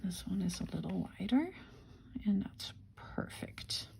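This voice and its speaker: A woman's voice: mid-range voice, lively intonation, a little slow, vocal fry, in a whisper. A woman hosting a casual craft-along, unhurriedly thinking aloud and murmuring to herself as she works. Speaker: craft tutorial host.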